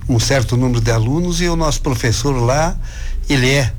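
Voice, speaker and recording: A man speaking as a radio guest for an Italian cultural club, lively and joking while he promotes ticket deals. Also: mid-range voice, a little fast, slight background noise.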